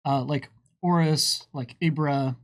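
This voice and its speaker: Man, slightly high voice, casual Q&A livestream host, explanatory, playful delivery. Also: fairly even intonation, slow. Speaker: panelist reading and answering viewer questions.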